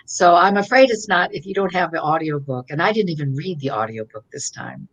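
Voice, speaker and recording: Older woman with a low, gravelly voice. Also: animated, a little fast, low-quality recording. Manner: chatty, trailing off and restarting. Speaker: author guest. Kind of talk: casual conversation on a virtual event. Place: home, virtual call. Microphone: video call.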